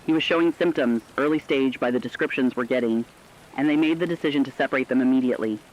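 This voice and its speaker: A man's voice: medium pitch, quick pace, lightly acted. A man playing an earnest, compassionate radio co-anchor, giving solemn, emotive scripted commentary on breaking news. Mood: solemn, sorrowful. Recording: dull lo-fi radio sound, faint noise.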